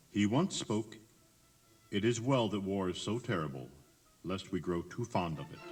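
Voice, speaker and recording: Male narrator, highly varied intonation, dramatic scripted storytelling for an eighties cassette tour, faint music underneath. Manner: theatrical, emphatic storytelling with rhetorical questions. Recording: 1980s cassette tour tape, music behind.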